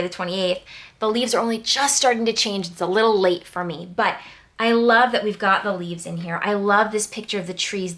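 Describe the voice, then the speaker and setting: A woman's voice: mid-range voice, a bit bright. A woman with an American accent and a mid-range voice hosts a casual hobby voice-over. She talks in a chatty, conversational way and drifts into small personal asides. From Connecticut, New England, United States. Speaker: planner channel host.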